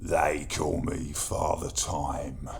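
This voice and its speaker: A wheezy, gravelly, sinister old prisoner. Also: male voice, rumbling low, flat delivery, heavily acted. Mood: ominous, ironic. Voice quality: wheezy, gravelly, breathy whispering with gurgling saliva. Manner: slow, deliberate, ominously polite. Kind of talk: horror audio drama acting.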